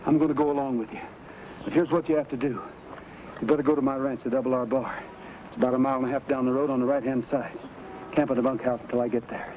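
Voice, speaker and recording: Brisk, steady cowboy hero, played by a man. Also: mid-range voice, a little hiss or hum, natural voice. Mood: calm, firm. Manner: plain-spoken, steady, in command. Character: calm, fair-minded cowboy hero and ranch owner. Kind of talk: old-time radio western acting. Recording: muffled old radio recording, loud music.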